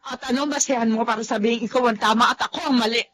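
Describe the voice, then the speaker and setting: Radio drama mother, a woman acting, stubborn and defensive, husky tone. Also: a little high, fairly even intonation, very fast, fairly bright tone, soft background music. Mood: defiant. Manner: defiant, stubborn. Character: mother defending love for younger man.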